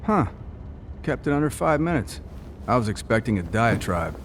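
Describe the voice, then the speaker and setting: An actor playing a seasoned, sarcastic covert operative in a video game, trading banter in a dry, deadpan manner. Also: male voice, mid-range voice, normal speed, noisy background, natural voice. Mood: wry. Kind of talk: video game character dialogue.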